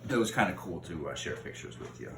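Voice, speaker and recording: A man's voice: sits low, animated, fast. A man reading a children's novel aloud in an informal way, sometimes stumbling and rereading a phrase. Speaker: read-aloud host. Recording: roomy echo, distant mic, faint noise.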